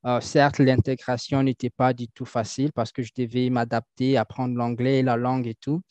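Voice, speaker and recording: A man answering interview questions and explaining things, often pausing with fillers and restarting his sentences. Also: mid-range voice, level tone, a little mellow, no room sound. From French-speaking country. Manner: hesitant, many fillers and restarts. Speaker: guest. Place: Toronto. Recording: dull lo-fi dry sound.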